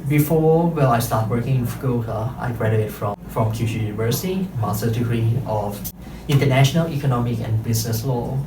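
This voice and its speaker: A Thai-accented man in his thirties delivers a measured, carefully paced talk to an audience, pausing often and correcting himself mid-sentence. His voice sits at an ordinary middle pitch. The recording is roomy and picked up from some distance, with clear music underneath. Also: noisy background. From Thailand. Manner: careful, measured, frequent pauses and self-corrections. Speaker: interpreter and translator giving a talk.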